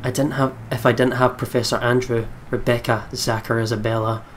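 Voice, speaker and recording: A man's voice: medium pitch, flat delivery, fairly quick, slight background noise. A young man hosting a let's play, reading visual novel narration aloud with dramatic delivery. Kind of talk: dramatic reading of game text.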